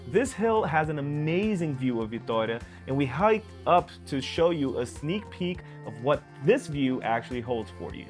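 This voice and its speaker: A man hosting a travel vlog, narrating city tips in an upbeat, recommending way and chatting with a guest. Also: mid-range voice. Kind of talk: travel guide narration and casual interview.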